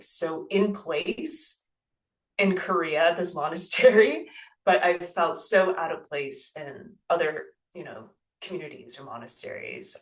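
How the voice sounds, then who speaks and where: A guest who grew up in the United States, speaking in a thoughtful, halting way with many fillers and soft laughs. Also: mid-range voice, distant mic. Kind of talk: reflective casual interview talk.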